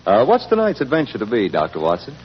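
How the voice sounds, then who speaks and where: An American man announcing and hosting in polished 1940s radio style, with a husky voice. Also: mid-range voice, wildly swooping pitch, fast. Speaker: announcer, host and sponsor's spokesman. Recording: muffled old radio recording with music.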